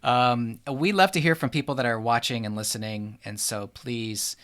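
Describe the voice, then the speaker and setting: A man's voice: medium pitch, normal speed, fairly bright tone, clean tone. An American man hosts a podcast in an informal, chatty, conversational way. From United States. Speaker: podcast host.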